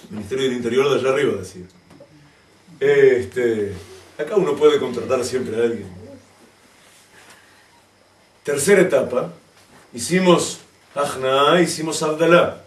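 A man's voice: on the high side, distant mic, a little hiss or hum. A man who is a rabbi gives a Torah lesson to a community, speaking emphatically, using rhetorical questions and wry asides. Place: community hall. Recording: roomy echo, from away from the mic.

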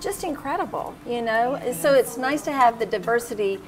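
A woman's voice: a little high, expressive, normal speed, soft background music, poor audio. A middle-aged woman hosting a casual, upbeat chat over dinner on a restaurant patio, with a lapel mic. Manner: chatty, upbeat, leads the talk. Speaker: host.

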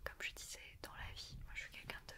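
A young adult woman, whispering casually as an ASMR creator and guest. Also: a little fast. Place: small indoor studio with sofa. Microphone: shared desktop condenser mic, close.

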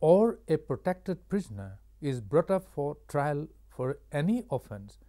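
An Indian-accented man in his sixties delivering a scripted lecture in a formal, measured way with halting phrasing. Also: on the high side, sing-song, mellow. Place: studio with curtain backdrop. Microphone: lapel mic.

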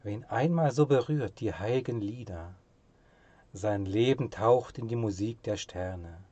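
A man with a low voice recites poetry very slowly and solemnly. His delivery is measured and expressive, easing into hushed phrase endings. The sound is dry, with hardly any room around it. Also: no echo.

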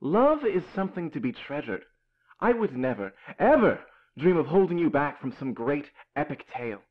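A man's voice: fairly high pitch, big pitch swings, normal speed, narrow, tinny audio. A man playing a flighty young artist boyfriend in an audio drama, florid and dramatic in manner. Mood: effusive. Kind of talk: modern audio drama acting.

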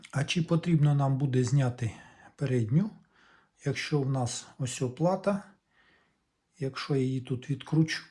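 A man's voice: medium pitch, mellow. A man gives a casual, hands-on repair walkthrough, thinking aloud as he explains each step without hurry. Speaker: hobbyist electronics repairer presenting a video.

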